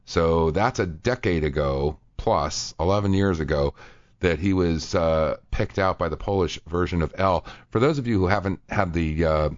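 A man's voice: deep voice, normal speed, no echo, muffled recording. A man hosting a radio talk show, chatting casually and reading quotes aloud with many fillers. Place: radio studio.

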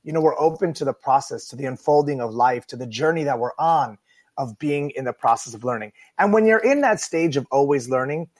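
A man's voice: a little high, dry recording. A man hosting a radio talk show, chatting casually and earnestly to listeners in a rambling, unscripted way that repeats phrases for emphasis.

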